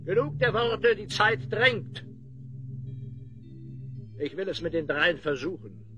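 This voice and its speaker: High-voiced, haughty slave overseer. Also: male voice, lively intonation, slow, fairly bright tone, faint music behind, exaggerated voice. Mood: impatient. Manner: imperious, scornful. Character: haughty, stingy male chief overseer of slave labor. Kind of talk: German fantasy audio drama acting.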